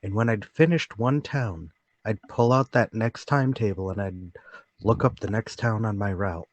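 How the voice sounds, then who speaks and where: A man's voice: fairly low pitch, moderate pace, highs cut off, close to an everyday voice. A man playing a meek, forgetful, troubled former traveling salesman in a radio play, polite, apologetic and sorry for himself. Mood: weary. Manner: polite, apologetic, self-pitying. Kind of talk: radio play table read.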